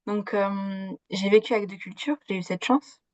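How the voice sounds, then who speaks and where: A woman's voice: mid-range voice, moderate pace, a little mellow, no echo. A young woman born in Switzerland, a coach guesting on a podcast, talking casually with lots of fillers. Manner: chatty, many fillers, laughs easily. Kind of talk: casual conversational interview.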